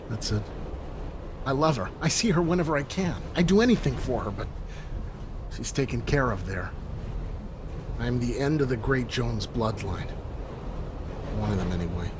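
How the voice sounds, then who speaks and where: A man's voice: medium pitch, lively intonation, moderate pace, long pauses, natural voice. Man playing a bitter young man amid train noise. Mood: weary sorrow. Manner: sarcastic, confrontational, brooding. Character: bitter, weary young man facing death. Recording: noisy subway train ambience.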